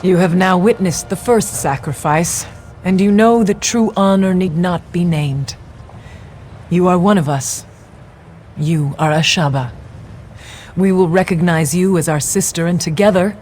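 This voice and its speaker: An actress playing a young woman of a desert tribe in a game, recounting her people's history in a measured tone. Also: lowish voice, darkish tone, faint music behind, lightly acted. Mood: solemn. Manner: measured storytelling tone. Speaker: game character.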